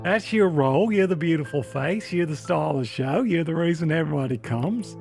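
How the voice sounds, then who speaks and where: A man's voice: on the high side, animated, fairly quick, vocal fry, a little mellow, soft background music. A man putting on a chummy Aussie bloke host. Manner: chummy, says mate a lot. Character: cheery male Aussie podcast host bloke. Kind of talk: improvised comedy banter.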